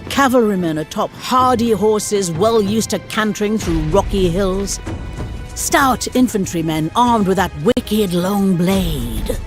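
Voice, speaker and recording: A woman narrating history for a game cutscene in a theatrical, measured storytelling manner. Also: on the low side. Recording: music behind the narration.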